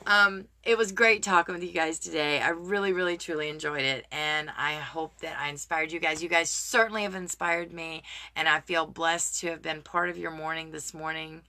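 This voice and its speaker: A woman talking earnestly and encouragingly to viewers in a casual live video, greeting them by name, her voice a little raspy today. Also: sits low, fairly even intonation, moderate pace, bright, forceful tone. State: slightly raspy voice. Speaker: motivational live streamer. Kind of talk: casual motivational talk to viewers.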